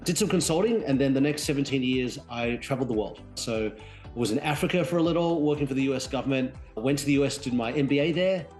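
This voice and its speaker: A man in his forties who grew up in Australia, speaking as an interview guest over a remote call, quick and full of restarts. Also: medium pitch, darkish tone, soft background music. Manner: quick, restarts often, chuckles. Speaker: guest, real estate executive. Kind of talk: conversational interview. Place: home in Sydney.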